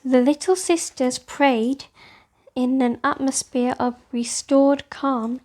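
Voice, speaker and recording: A woman's voice: high voice, fairly even intonation, takes it slowly, a little mellow. A woman reading scripted storybook narration for children.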